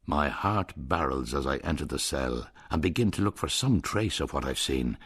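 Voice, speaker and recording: A man's voice: extremely low pitch, a bit bright, husky. A man narrating a radio drama in a wry, storytelling manner.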